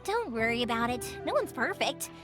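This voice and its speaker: A woman's voice: a little high, big pitch swings, moderate pace. A woman voicing a cheerful, talkative young fireworks maker in a game dub, eager to help everyone. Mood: warm. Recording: game audio with music behind.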